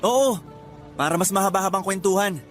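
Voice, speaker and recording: A male actor playing an upbeat, loyal young suitor in a Filipino radio drama, chummy and animated. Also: high-pitched, brisk, faint music behind, close to an everyday voice.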